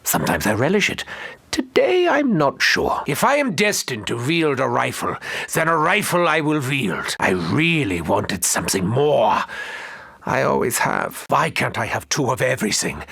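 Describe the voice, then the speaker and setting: An actor playing a brooding, eccentric, world-weary mad doctor in a video game, theatrical and wry, with a raspy voice. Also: male voice, mid-range voice, bright, forceful tone, dry recording, heavily acted. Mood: wry, rueful. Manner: theatrical, melancholy, wry, formal. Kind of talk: video game character monologue lines.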